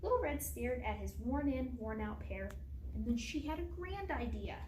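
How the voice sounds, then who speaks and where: A woman's voice: fairly high pitch, sing-song, normal speed, a little mellow. A woman reading a storybook aloud expressively for preschool children. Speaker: guest storybook reader. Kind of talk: expressive picture-book read-aloud for preschoolers. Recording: echoey room, distant mic, faint noise.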